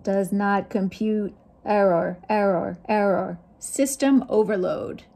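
A woman's voice: medium pitch, slow pace, creaky, mellow. A woman voicing a shy, lovestruck storybook robot in clipped, emphatic phrases. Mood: flustered. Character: shy, lovestruck, dramatic male robot from a picture book.

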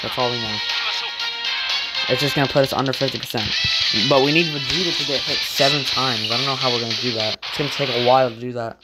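A woman's voice: a bass voice, fairly even intonation, fast. A woman casually commentating a mobile game, thinking aloud as she plays and calling out "bro". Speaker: gaming channel host. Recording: loud music behind the voice. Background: loud background music.

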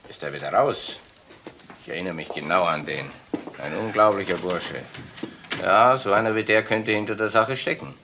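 An actor playing a frank, experienced burglary investigator in a German crime radio play, clipped and matter-of-fact. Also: male voice, fairly low pitch, moderate pace, close to an everyday voice. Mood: emphatic. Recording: faint noise and clicks, older radio play.

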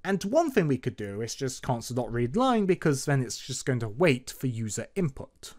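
A man's voice: medium pitch, very animated, moderate pace, a little mellow. A man hosting an informal programming tutorial as a voice-over, playful and lightly sarcastic in manner. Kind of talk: informal screen-recorded tutorial narration. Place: voice-over for screen recording.

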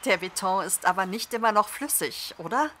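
A woman's voice: mid-range voice, animated, fairly quick, fairly bright tone, slight background noise, lightly acted. A woman playing a friendly podcast guest in a German audio drama, chatty and explanatory. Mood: matter-of-fact.

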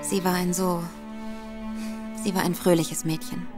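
A woman's voice: lowish voice, flat delivery, unhurried, over music, close to an everyday voice. A woman playing a poised, persuasive woman detective in a radio drama. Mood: gentle. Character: sharp, determined woman investigator.

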